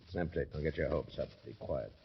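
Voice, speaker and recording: Gravelly, very deep-voiced American thug. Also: male voice, fairly quick, muffled recording, heavily acted. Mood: cold, threatening. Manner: curt, sardonic, bossy. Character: menacing gruff thug with a gun, contemptuous and terse. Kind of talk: 1950s American radio drama acting.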